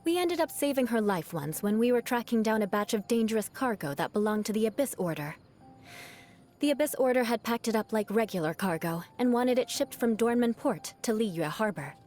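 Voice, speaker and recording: An actress voicing a proud young noblewoman knight in a video game, with a haughty, formal, theatrical manner and a vengeful streak over a soft heart. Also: on the high side, expressive, fairly quick, natural voice. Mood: fond, measured. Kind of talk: video game character voice lines. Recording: music behind the voice. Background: background music.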